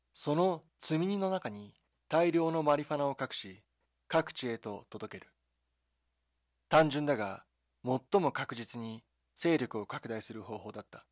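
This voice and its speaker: A man delivering scripted dramatic documentary narration in a measured, solemn storytelling manner, with short deliberate phrases. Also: mid-range voice, no room sound, clean studio sound. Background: background music.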